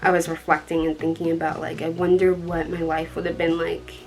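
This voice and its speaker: A young woman from Colorado with a dark tone, chatting casually to the camera in a rambling way, with many ums and soft laughs. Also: deep voice, level tone, a little fast, soft background music. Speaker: vlogger.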